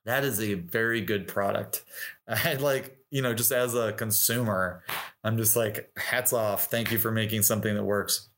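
A man's voice: fairly low pitch, fairly even intonation, moderate pace, fairly bright tone. A middle-aged man talking casually and at length as an interview guest, explaining his craft as a musician and acting out imagined quotes. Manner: informal and talkative, voicing imagined quotes.

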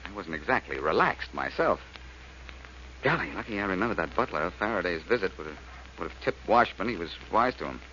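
An actor playing a cocky, quick-witted sleuth in a 1940s radio drama, with a wry, wisecracking American delivery. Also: male voice, low voice, sing-song, bright, forceful tone, exaggerated voice. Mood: relieved, wry. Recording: noisy, muffled old radio recording.

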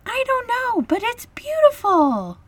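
A woman voicing a curious, excitable young fairy in a storybook, with wide-eyed, exclamatory delivery. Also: very high-pitched, slow, dry recording, heavily acted. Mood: wonder, excitement.

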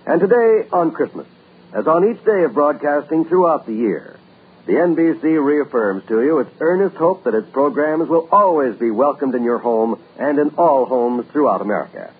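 A man's voice: a little high, expressive, moderate pace, slightly rough. A man making a formal network announcement in a stately, earnest manner. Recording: tinny old radio broadcast.

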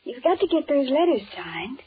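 An affectionate young secretary in a 1940s radio drama. Her fairly high voice is coaxing yet businesslike, heard on a muffled, narrow old recording. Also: big pitch swings, moderate pace, slight background noise. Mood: brisk, insistent.

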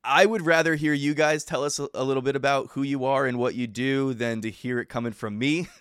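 Man, podcast host, smooth clear voice, dry sound, eager, video call. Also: mid-range voice, fairly even intonation, fairly quick, no room sound. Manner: enthusiastic, warm thanks, frequent fillers. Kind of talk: upbeat interview hosting.

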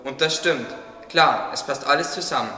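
A man's voice: on the high side, normal speed, lightly acted. Thoughtful gardener played by a man, echoing sound. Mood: thoughtful, devout. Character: thoughtful, devout male gardener, friend to animals. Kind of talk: German radio play acting. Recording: echoey hall, clear background noise.

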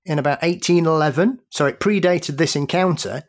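A man, a guest on a podcast, with a voice pitched a little above the usual. He recounts odd historical tales in careful detail. He laughs readily along the way. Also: brisk. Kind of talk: casual podcast conversation.

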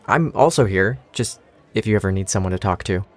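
Audio drama role, male actor: friendly young male newcomer, natural, relaxed and gently joking delivery. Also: lowish voice, brisk, lightly acted. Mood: kind, reassuring. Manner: casual, lightly joking.

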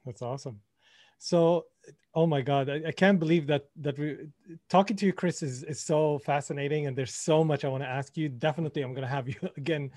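A man hosts a podcast interview, and his voice sits somewhat high. He asks eager, halting questions and often restarts them. The sound is dry and close, with no room echo. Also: a little mellow. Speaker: podcast host.

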